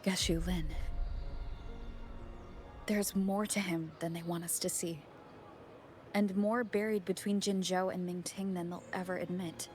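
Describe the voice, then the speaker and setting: An actress plays a serious woman investigator in a fantasy game. Her voice sits a little low. She speaks tersely and probingly, with suspicion, over faint music. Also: steady tone, a little slow, pauses often, a little mellow, natural voice. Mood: serious, suspicious. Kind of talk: game dialogue.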